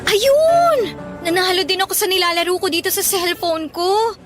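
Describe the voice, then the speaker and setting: An actress playing a cheerful, playful young student in a Filipino radio drama, bubbly and teasing, with a Filipino accent. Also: female voice, very high voice, normal speed, soft background music. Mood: upbeat.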